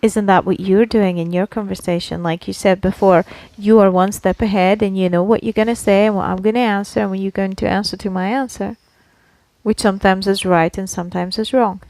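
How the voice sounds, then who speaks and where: A woman's voice: medium pitch, fairly even intonation, fairly quick, a little mellow, no room sound, poor audio. Argumentative woman in a dry, close recording. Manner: argumentative, pushes back, mild swearing. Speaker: podcast co-host. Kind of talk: casual argumentative banter between co-hosts.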